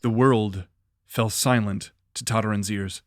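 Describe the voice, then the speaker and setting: A man narrating a fantasy audio drama in a measured, dramatic way. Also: lowish voice, fairly even intonation, no echo, clean studio sound.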